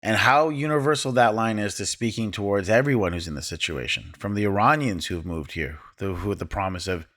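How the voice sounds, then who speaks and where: A man's voice: on the low side, a little fast, hardly any pauses, a bit bright. A man co-hosting a podcast, making long, earnest points while analyzing a film. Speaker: podcast co-host.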